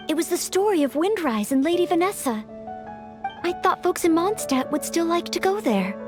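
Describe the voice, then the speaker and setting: A woman voicing an earnest, modest young maid who hopes to be a knight, polite and gentle, in a video game. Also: very high-pitched, heavily acted. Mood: flustered, helpful. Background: game music.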